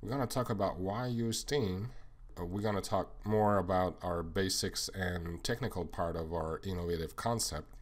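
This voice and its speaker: A man's voice: deep voice, moderate pace. A man from Quebec with a French-Canadian accent, French his first language, earnestly explaining a slide presentation item by item. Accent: Québécois French. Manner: earnest, plain explanatory, lists items one by one. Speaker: sales manager.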